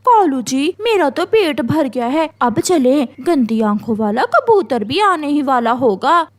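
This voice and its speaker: Cartoon crow daughter, played by a woman: sly, cheeky, singsong, very high, swooping pitch. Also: big pitch swings, unhurried. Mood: breezy, cheeky.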